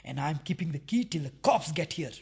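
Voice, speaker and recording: A man's voice: fairly high pitch, animated, brisk, vocal fry, darkish tone. An actor playing a jealous, theatrical male lover in a farcical radio play, dramatic and indignant. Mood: sarcastic, indignant.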